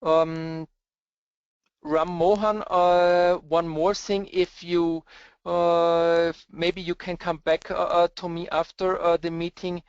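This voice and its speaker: A man's voice: fairly high pitch, little pitch movement, takes it slowly, clean tone, no room sound. Hesitant Austrian man in a lo-fi webinar. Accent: Austrian German. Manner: hesitant, many filler sounds. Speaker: presenter, cluster architect. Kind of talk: webinar Q&A answers. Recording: dull lo-fi webinar audio, dry.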